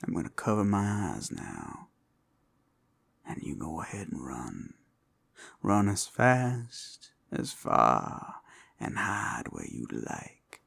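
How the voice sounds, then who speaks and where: Guy doing a flirty possessive werewolf asmr thing, super slow, breathy n gravelly, playful predator. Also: low-pitched, wildly swooping pitch, a bit bright, heavily acted. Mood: teasing, excited. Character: teasing, possessive male werewolf hunting his sweetheart. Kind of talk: ASMR roleplay.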